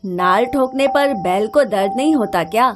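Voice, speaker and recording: A woman's voice: fairly high pitch, normal speed, close to an everyday voice. A woman voicing a squabbling young twin farm boy in a cartoon story, with a childlike, whiny delivery. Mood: hesitant, curious. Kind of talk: animated moral story acting.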